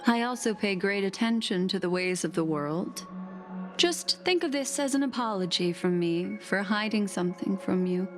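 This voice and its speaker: A woman playing a poised, enigmatic and courteous guide in a video game, speaking in a measured way. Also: medium pitch, animated, lightly acted. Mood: courteous, gracious. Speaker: game character. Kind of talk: scripted video game dialogue. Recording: music behind the voice.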